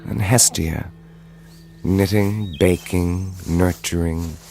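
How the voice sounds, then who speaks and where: An actor playing a romantic, smitten and wryly comic storyteller of myth, narrating an audio drama with savoured, drawn-out phrases. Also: male voice, low voice, fairly even intonation, vocal fry, mellow, faint music behind, full character voice. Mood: smitten, savouring.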